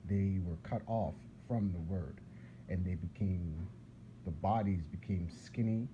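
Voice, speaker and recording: A man from whose was Arabic, preaching in a casual, rambling monologue that repeats words and restarts sentences. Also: sits low. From Philadelphia. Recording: dull lo-fi sound with clear background noise.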